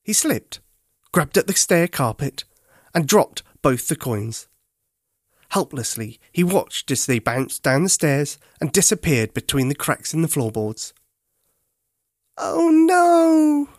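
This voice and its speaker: A children's podcast host narrating a bedtime story in a gentle, carefully enunciated, expressive way. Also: medium pitch, unhurried, no room sound, clean studio sound.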